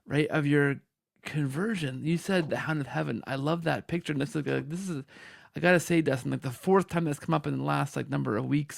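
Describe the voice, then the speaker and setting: A man's voice: fairly high pitch, vocal fry, dry recording, clean studio sound. A man hosting a podcast in a casual, conversational way, rambling and repeating phrases with enthusiasm. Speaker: podcast host.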